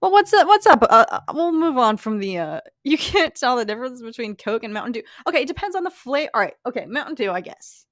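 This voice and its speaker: A woman's voice: fairly high pitch, big pitch swings, fast, hardly any pauses, a bit bright, no room sound, studio quality. A young woman from Philadelphia with a light Philly accent, chatting casually and giggling as she reads comments on a live stream. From Philadelphia, USA. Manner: chatty, giggly, lots of "like" and restarts.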